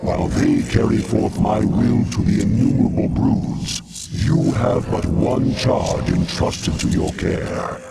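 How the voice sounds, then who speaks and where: A man voicing an ancient, godlike alien hive mind for a video game, grandiose and commanding, with solemn archaic phrasing and a gravelly voice. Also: mid-range voice, very noisy. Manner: solemn, slow, archaic pronouncements. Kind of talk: video game villain monologue.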